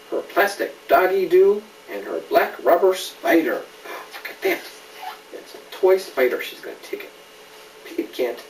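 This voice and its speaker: A father reading a story aloud to a child, patiently explaining and asking questions in casual asides. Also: a little high. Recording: roomy, distant mic, faint background noise.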